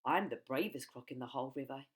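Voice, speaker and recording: A woman voicing an enormous, greedy male crocodile in a children's storybook, boastful and relishing every drawn-out word. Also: a bass voice, breathy edge.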